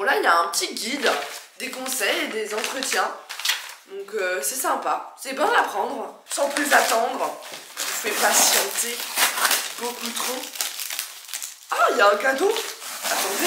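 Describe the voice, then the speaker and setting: A young woman in her twenties with a stuffy nose from a cold, chatting excitedly and poking fun at herself while she unboxes a plant in her living room. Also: fairly high pitch, roomy sound, very noisy. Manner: chatty, excitable, self-mocking. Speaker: plant vlogger. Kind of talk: casual unboxing vlog.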